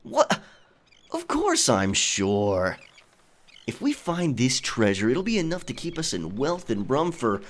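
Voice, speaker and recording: A man's voice: mid-range voice, huge pitch swings, slow pace. A man playing a roguish, affectionate pirate captain in an intimate, teasing romantic ASMR audio roleplay. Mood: confident, commanding.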